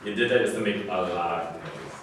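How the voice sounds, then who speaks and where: A man's voice: low voice, fairly quick, natural voice. A man in a staged play reading, playing a blunt, serious man who challenges the others. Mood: insistent. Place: echoey indoor room at a staged reading. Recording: distant, very echoey room with noise.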